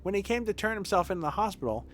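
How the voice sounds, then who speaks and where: A man plays an eager small-town hospital helper from a video game. The voice is pitched up and lively, polite and anxious to please. Some noise sits behind it. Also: fast talker. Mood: earnest, reporting. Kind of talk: video game dialogue read aloud.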